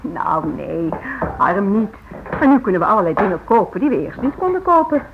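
An actress playing a brisk, practical, mildly exasperated housewife and mother in a radio drama. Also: mid-range voice, sing-song, gravelly. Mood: patient, explaining. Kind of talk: radio drama acting, domestic dialogue. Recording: dull old radio, faint noise.

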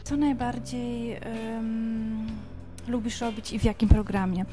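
A woman talks slowly and casually while checking the microphone. Her voice is fairly high, and music is heard behind her. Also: steady tone. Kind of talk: casual studio chatter and mic checks.

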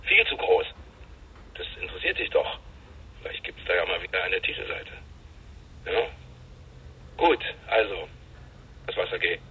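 A man's voice: low voice, very animated, moderate pace, pauses often. An actor playing a man dryly needling someone over the phone in a German radio drama. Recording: dull telephone-like sound, faint noise.

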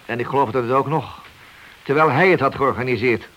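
A man's voice: medium pitch, a little fast, fairly bright tone, close to an everyday voice, rough recording. A man acting in a radio play as a cunning, sardonic, mock-polite police detective who bends the rules. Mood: sardonic, needling. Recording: hissy old radio recording.

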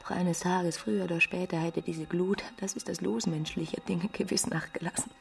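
A woman playing a desperate, jilted married romantic in a radio drama, intense and halting, often dropping to a whisper. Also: fairly low pitch, fairly even intonation. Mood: bitter anguish. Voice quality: creaky, breathy, often whispering.

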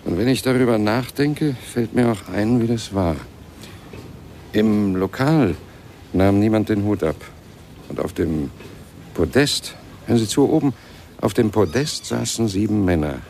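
An actor playing a boastful, boozy old-time jazz witness in a radio drama, creaky and insistently buttonholing his listener as he rambles. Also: fairly low pitch, lively intonation, measured pace, warm tone, a little hiss or hum. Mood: excited reminiscing.

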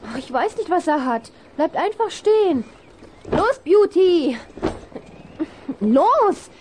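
Young girl rider, children's radio drama: high-pitched, spirited, faint vinyl noise. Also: very animated, slow, a little mellow, exaggerated voice. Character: bright, spirited young girl who rides horses. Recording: hissy old vinyl rip.

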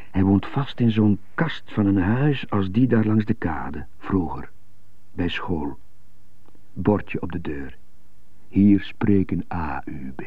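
Stuttering jailed musician, low husky voice, wistful, halting monologue, radio play, thin narrow sound. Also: male voice, no echo, full character voice. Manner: halting, broody inner monologue. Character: stuttering imprisoned male jazz trumpeter, wistful and defiant. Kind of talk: radio drama acting. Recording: slightly muffled, phone-like narrow band.

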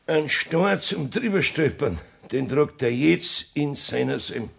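An actor playing a battered, evasive village judge in a dialect radio play, grumbling and exclaiming in Bavarian with a gravelly, husky voice. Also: male voice, a little high, animated, slow pace, a bit bright, full character voice.

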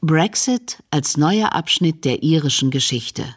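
A woman's voice: sits low, expressive, measured pace, slightly rough. A woman reading scripted narration for a radio documentary feature.

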